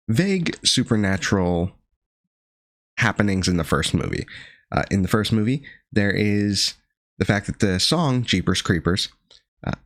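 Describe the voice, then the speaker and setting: A man with a gravelly voice still recovering from being sick, reviewing a horror film with dry sardonic asides and frequent pauses. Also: fairly low pitch, a bit bright, no echo. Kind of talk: casual video review monologue.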